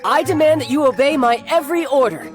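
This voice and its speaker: Game casting: young male warrior and big brother, authoritative yet smooth, big expressive swings. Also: very high-pitched, fast. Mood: commanding, stern. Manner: theatrical, smooth-talking, authoritative. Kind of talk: video game voice acting. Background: background music.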